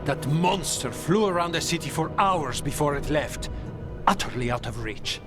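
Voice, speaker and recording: Higher-voiced, reproachful assassin played by a man. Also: animated, over music, natural voice. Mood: accusing. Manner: reproachful, deliberate. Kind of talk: dramatic video game acting.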